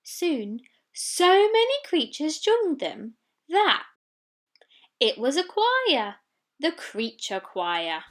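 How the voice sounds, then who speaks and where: A woman's voice: high-pitched, wildly swooping pitch, drawn-out words. A woman reading a children's storybook expressively, with dramatic delivery of sound words. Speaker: story reader.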